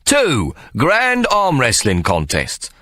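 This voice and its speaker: A man's voice: mid-range voice, wildly swooping pitch, slow pace, pushed and bright, no echo, pitched above the performer's own voice, heavily acted. A man voicing a sly, scheming male showman who announces grandly and wheedles. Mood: grand announcing.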